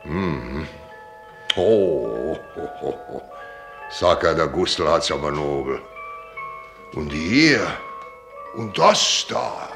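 An actor playing a gruff, folksy old sea captain in a German radio drama, blunt and muttering, with the odd English sailor phrase. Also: male voice, rumbling low, very animated, very slow, long pauses, fairly bright tone, long reverb tail, soft background music, exaggerated voice. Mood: impressed.

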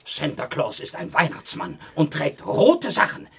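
A man playing a blustering, bossy fairy-tale huntsman in a children's audio play, officious and husky. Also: a little high, wildly swooping pitch, unhurried, a bit bright, exaggerated voice. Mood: suspicious, stern. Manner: officious, commanding.

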